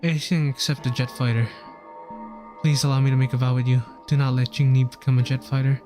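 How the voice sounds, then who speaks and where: Guy streamer reading game story out loud, mid voice, earnest, trips on words, soft music behind. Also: mid-range voice, flat delivery, fast. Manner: earnest, stumbles over words while reading. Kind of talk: reading game story text aloud on livestream.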